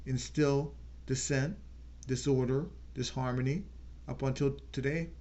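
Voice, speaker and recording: A man's voice: medium pitch, steady tone, mellow. Earnest man, dull lo-fi sound. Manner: earnest, slows down to stress key words. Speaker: channel host. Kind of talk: unscripted political talk.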